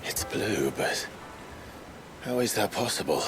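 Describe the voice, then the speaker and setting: A male actor voicing a grave, courteous video game outlaw hero with a measured, formal, terse delivery. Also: on the low side, expressive, noisy background. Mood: awed, puzzled. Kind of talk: dramatic video game acting.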